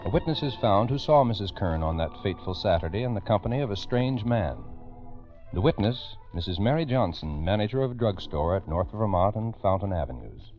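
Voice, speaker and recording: A man's voice: on the low side, animated, fairly quick, soft background music. A man narrating a scripted old-time radio crime story in a formal, dramatic way. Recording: muffled old radio recording.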